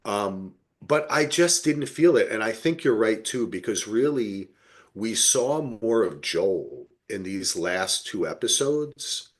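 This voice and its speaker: A man podcasting in casual review chat, his pitch rising and falling in lively swings. The voice is somewhat husky, and he speaks with emphatic, opinionated conviction, swearing freely. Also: slow pace. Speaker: podcast co-host.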